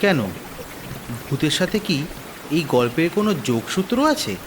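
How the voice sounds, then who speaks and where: A man voicing a curious, friendly young villager in a horror cartoon, expressive. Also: fairly high pitch, moderate pace, close to an everyday voice. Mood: curious, amiable. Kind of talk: dramatized cartoon dialogue and narration. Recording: noisy rain ambience behind the voice.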